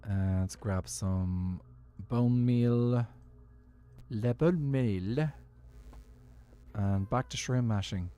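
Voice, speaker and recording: A man's voice: lowish voice, extremely slow, pauses often, dark tone, clean tone. A man giving easygoing, musing commentary while he plays a game as a streamer. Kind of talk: casual gaming commentary.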